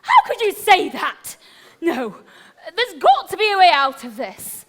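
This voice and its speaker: A woman's voice: extremely high pitch, wildly swooping pitch, measured pace, heavily acted. A woman playing an anxious, flustered queen in a pantomime, overwrought and melodramatic. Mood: nervous reassurance. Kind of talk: broad pantomime stage acting. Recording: echoey hall, noisy live stage recording.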